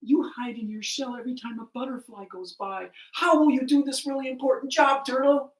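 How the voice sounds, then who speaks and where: A storyteller playing an excitable meadow animal from a folktale, pitched high and exclaiming with emphasis. The voice rings in an echoey room. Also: a little fast, far from the mic, lightly acted. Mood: doubting. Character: excitable crowd of meadow animals, doubting and pleading.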